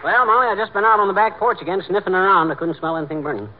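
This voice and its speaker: An actor playing a boastful, folksy middle-aged American husband in a cocky, slangy 1940s radio comedy style. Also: male voice, high-pitched, racing, a faint crowd, natural voice. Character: brash, boastful, folksy husband, small-town big talker. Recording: muffled, phone-like 1940s radio recording.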